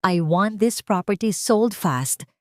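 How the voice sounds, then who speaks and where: A woman's voice: mid-range voice, lively intonation, slow pace, no echo, clean studio sound. A woman with a Filipino accent voicing a greedy, imperious rich woman in a narrated story, commanding and impatient. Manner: commanding, code-switching.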